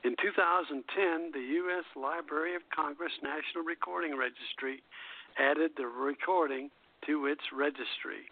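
A man with a fairly high voice reads a scripted music history as a radio host. His delivery is halting, with stumbles and self-corrections. The sound is thin and narrow, like an internet radio show taken over a phone line. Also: vocal fry.

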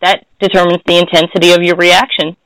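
A woman's voice: fairly low pitch, little pitch movement, a little fast, fairly bright tone, dry recording, poor audio. A woman hosting a spiritual talk, speaking in a thoughtful, searching way and often correcting herself. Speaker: host. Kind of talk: reflective casual conversation.

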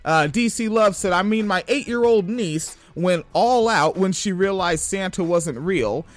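A young man from Washington State with a high-pitched voice, hosting a podcast and reading news stories aloud with rambling, profane commentary and frequent laughs. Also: animated, measured pace, faint music behind. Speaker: podcast host.

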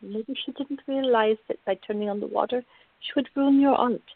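A narrow, phone-like sound carries an actress as a calm woman with a slightly husky voice. She is measured and placating, gently firm as she explains things in a radio drama. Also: on the high side. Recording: tinny, band-limited, echoey room.

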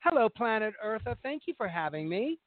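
Guy rambling on about his stage stuff, bit higher voice, sounds like a tinny phone call, super dry. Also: fairly quick, a crowd in the background. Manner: animated, long-winded storytelling. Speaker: talk show co-host and stage actor. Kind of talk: casual chat. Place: Los Angeles.

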